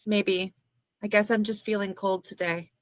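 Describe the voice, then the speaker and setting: A woman's voice: medium pitch, steady tone, moderate pace, no echo, lightly acted. A woman playing a troubled, bitter and frightened young psychiatric patient in a dramatic table read. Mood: bitter, guarded.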